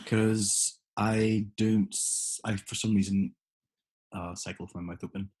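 A man's voice: lowish voice, little pitch movement, measured pace, darkish tone, husky. A man in casual chat, telling a rambling everyday story with lots of fillers and restarts.

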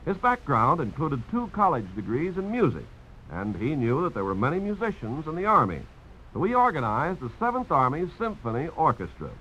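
Animated formal male radio announcer. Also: fairly high pitch. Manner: formal, polished oratory. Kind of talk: 1950s scripted radio narration. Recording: dull, noisy old radio broadcast.

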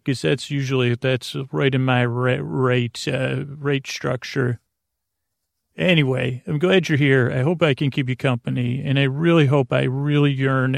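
Creaky-voiced, rambling man. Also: medium pitch, no room sound, clean studio sound. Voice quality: gravelly, creaky. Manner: halting, meandering, repeats and trails off mid-thought. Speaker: podcast host. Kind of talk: rambling bedtime podcast monologue.

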